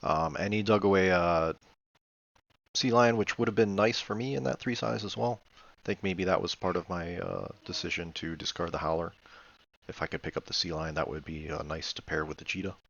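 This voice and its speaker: A man's voice: sits low, steady tone, brisk, creaky, fairly bright tone, dull audio. A man giving casual commentary on a board game replay, thinking aloud in a hesitant, matter-of-fact way. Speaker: board game player reviewing own replay.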